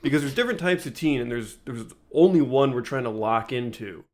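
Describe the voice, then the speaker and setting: A man with an average-pitched voice chats as a podcast co-host. His delivery is informal and conversational, with a wry, joking way of putting things. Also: brisk, darkish tone. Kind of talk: casual chat.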